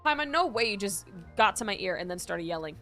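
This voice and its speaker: A woman's voice: mid-range voice, lively intonation, fast talker, bright, forceful tone. A woman gaming streamer giving casual, playful and exclamatory reaction commentary. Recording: game music behind the voice.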